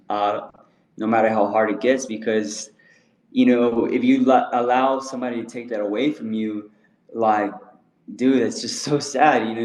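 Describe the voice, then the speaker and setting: Man, interview host, laid-back, slangy, low voice, roomy echo. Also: darkish tone. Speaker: podcast host. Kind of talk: casual interview chat.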